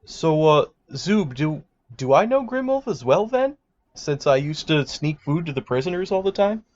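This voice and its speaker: A man's voice: on the high side, very animated, normal speed, darkish tone, lo-fi sound. A man playing a tabletop roleplaying game, switching between casual table talk and in-character speech.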